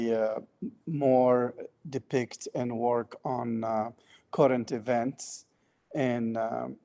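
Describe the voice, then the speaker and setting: A man speaking slowly and carefully at an art panel, his talk broken up by frequent hesitations and filler sounds. His voice sits at an ordinary middle pitch. He grew up in Lebanon and Sierra Leone. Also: warm tone. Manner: halting, full of filler sounds. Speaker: artist on a panel.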